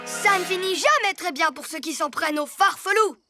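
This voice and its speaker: A woman playing a cheeky, excitable youngster in a children's cartoon, lively and exclamatory. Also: very high-pitched, a little fast, a loud crowd. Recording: loud music under the dialogue.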